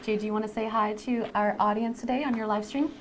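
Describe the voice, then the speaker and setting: A woman's voice: a little high, fairly even intonation, fast talker. A woman casually co-hosting a live stream, explaining things to the audience in a chatty, conversational way. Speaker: live stream co-host introducing a lash artist. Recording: clear background noise.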